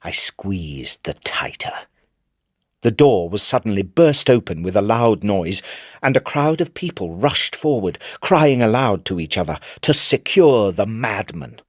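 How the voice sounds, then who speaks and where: A low, very dry and close male voice a madman telling his own dark tale. His pitch swoops widely as he moves between hushed menace and fierce outbursts. The delivery is theatrical storytelling, gleeful and full of vengeance. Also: sing-song, unhurried, dry recording. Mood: frenzied, triumphant. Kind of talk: dramatic first-person literary reading.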